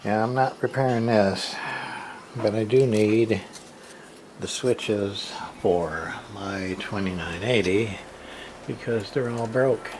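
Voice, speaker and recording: A man with a lowish voice talks over clear background noise in an amateur recording. He speaks casually and very slowly, halting often as he shows equipment in a matter-of-fact, half-muttered way. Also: darkish tone, poor audio. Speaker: hobbyist radio repairer, video host. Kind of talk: casual unscripted show-and-tell of equipment.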